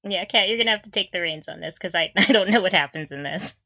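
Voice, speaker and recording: A woman co-hosting a podcast in casual chat, with a giggly, expressive way of reacting. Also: on the low side, fast talker, dry recording, clean studio sound. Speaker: podcast co-host.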